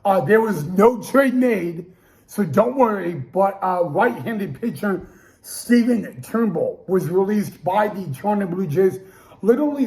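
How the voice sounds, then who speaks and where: A man's voice: high voice, darkish tone, poor audio. A young man hosting a casual sports talk show, losing his voice and restarting often with stammered repeats. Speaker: podcast host.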